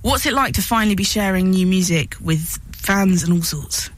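A woman's voice: medium pitch, moderate pace. A woman hosting a radio interview in a studio, speaking into a studio microphone. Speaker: radio host.

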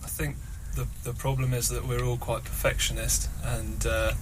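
A man's voice: mid-range voice, flat delivery, moderate pace, background noise. A man in a band, chatting casually as a guest in a radio studio interview.

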